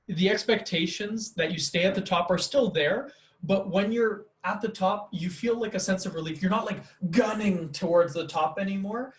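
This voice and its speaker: A man's voice: sits high, echoey room. A man hosting a casual podcast conversation about teaching, rambling with lots of "like" fillers. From Canada.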